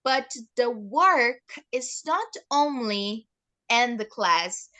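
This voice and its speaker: A woman's voice: on the high side, expressive, extremely slow, pushed and bright. A nineteen-year-old woman from Brazil with a Brazilian Portuguese accent, a teacher answering interview questions thoughtfully with frequent restarts. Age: teens.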